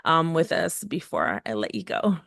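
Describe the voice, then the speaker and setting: Soft-spoken woman podcast host, dry close sound. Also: low voice, sing-song, moderate pace, gravelly, no room sound. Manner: encouraging, hesitant with many fillers. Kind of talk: casual faith conversation.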